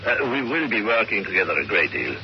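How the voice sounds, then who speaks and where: Low-voiced German commando officer. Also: male voice, sing-song, brisk. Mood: purposeful, confiding. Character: brisk, confident German commando officer on secret mission. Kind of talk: old-time radio drama acting. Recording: muffled, noisy vintage radio recording.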